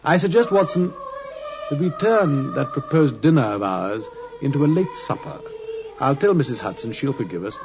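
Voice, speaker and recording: An actor playing a shrewd, courteous British detective with an urbane, theatrical manner in an old radio drama. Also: male voice, mid-range voice, very animated, normal speed, over music. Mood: purposeful, animated. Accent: British English. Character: shrewd, courteous, self-assured male detective. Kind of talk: 1950s radio drama acting. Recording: muffled old radio recording.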